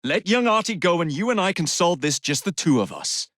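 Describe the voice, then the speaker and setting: An actor playing a cocky, roguish young Victorian gang leader in a video game, with an English accent and a cheeky, theatrical, teasing way of speaking. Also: male voice, high voice, very animated, fairly quick, a bit bright, dry recording, clean studio sound. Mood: teasing, assertive. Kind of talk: dramatic video game dialogue lines.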